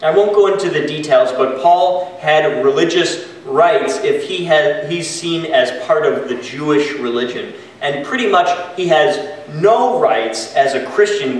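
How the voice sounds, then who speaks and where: A man in his thirties preaches slowly and deliberately from a pulpit, pausing between phrases as he reads from his notes. His voice sits a little high and lands in a very reverberant church sanctuary, picked up from some distance away. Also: slight background noise. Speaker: pastor. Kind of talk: sermon.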